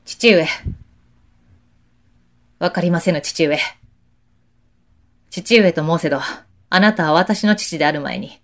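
An actor playing a righteous, dutiful young samurai officer in a Japanese period audio drama, with formal, respectful, archaic speech. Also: male voice, fairly high pitch, slow pace, pauses often, a bit bright. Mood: resolute, impassioned.

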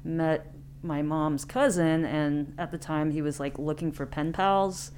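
An American woman in her thirties with a notably low voice tells personal stories as an interview guest. She speaks informally, thinking aloud as she goes. There is a faint hum in the recording. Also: steady tone, a little slow, darkish tone. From Independence, Missouri, United States. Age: late 30s. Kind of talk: casual chat, personal storytelling.

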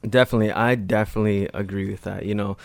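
A man's voice: lowish voice, fairly even intonation, rapid-fire, gravelly, a little mellow, no room sound. A man hosting a podcast, talking in a conversational, earnest way with his guest. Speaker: podcast host. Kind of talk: casual podcast talk. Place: studio.

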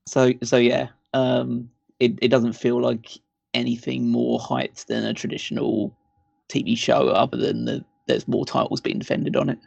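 Croaky, gravelly-voiced male podcaster. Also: medium pitch, lively intonation, normal speed, darkish tone, no echo, muffled recording. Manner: hesitant, many ums and uhs. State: croaky from shouting. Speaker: podcast co-host. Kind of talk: casual chat.

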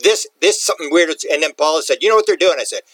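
A man's voice: on the high side, expressive, very fast, a bit bright, no echo. A man in a casual discussion, speaking informally and repeating himself mid-sentence as he asks and explains. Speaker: interview participant asking questions.

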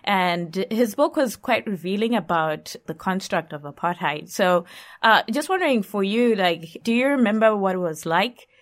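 A woman's voice: medium pitch, animated, moderate pace. Woman, middle-range voice, casual interviewer, informal tentative questions, conversational delivery. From Zimbabwe. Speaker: interviewer, co-host.

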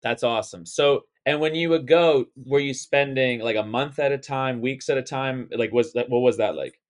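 A man's voice: medium pitch, normal speed. Laid-back young man hosting a podcast. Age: young adult. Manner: laid-back, slangy, loose and rambling. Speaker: podcast host. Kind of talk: casual podcast interview.

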